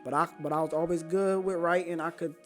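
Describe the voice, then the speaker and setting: A man, a Creole speaker from Haiti. He talks as a podcast guest in animated, profanity-laced slang, telling personal stories casually. Faint music sits behind him. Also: a little high, a little fast. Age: 20s.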